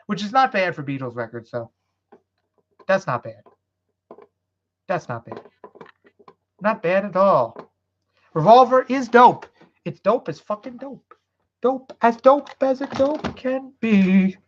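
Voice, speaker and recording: Woman streamer, casual rambling chat, profane and loose, dull lo-fi audio. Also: fairly high pitch. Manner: rambling, swearing, loose after beers. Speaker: live streamer.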